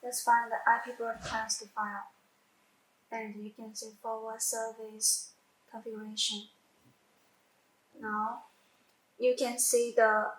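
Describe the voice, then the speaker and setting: A woman who speaks English as a second language, giving a halting step-by-step software tutorial with repeats and self-corrections. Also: a little high. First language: not English. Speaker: product tutorial presenter. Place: indoor room, demonstrating at a computer screen. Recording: echoey room sound.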